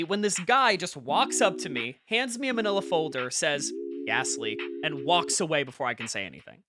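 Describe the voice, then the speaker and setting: An actor playing an excitable, paranoid male conspiracy vlogger in his late twenties, chatty, wry and emphatic, in an audio drama. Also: high-pitched. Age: late 20s. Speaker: host of a conspiracy video channel. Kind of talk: scripted audio drama monologue as a casual vlog.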